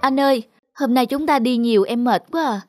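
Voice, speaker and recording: A woman voicing a gentle, affectionate young wife in a cartoon dub, with music underneath. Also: sits high, fairly quick, lightly acted. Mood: gently pleading. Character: gentle young wife on holiday, agreeable and appreciative.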